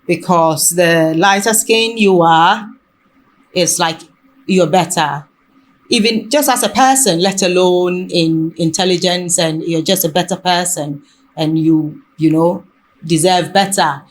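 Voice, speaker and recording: Slow-paced, halting woman. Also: fairly low pitch. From Ghana. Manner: halting, often rephrasing mid-sentence. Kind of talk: reflective conversational discussion.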